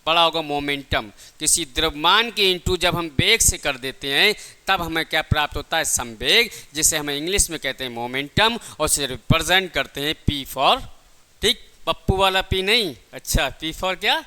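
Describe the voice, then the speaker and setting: A male physics teacher gives an exam-prep lesson in a very bright, crisp voice. He explains step by step with plenty of repetition. He poses short questions and then answers them himself. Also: a little high, lively intonation, moderate pace.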